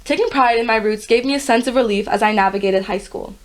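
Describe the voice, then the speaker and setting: A young woman from Guyana, a podcast guest, reading her college admissions essay aloud in an earnest, steady way. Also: on the high side, fast talker. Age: young adult.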